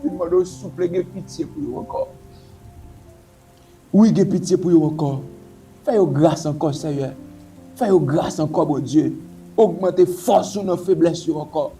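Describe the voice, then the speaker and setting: A man's voice: a little high, warm tone. A man leading a pleading, repetitive spoken prayer. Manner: repetitive, pleading, intoning invocations. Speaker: prayer leader.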